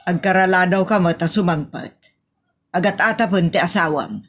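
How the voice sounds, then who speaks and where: A woman's voice: fairly low pitch, normal speed, music in the background, natural voice. A woman with a northern Philippine accent playing a suspicious, scolding older mother in a comedy audio drama.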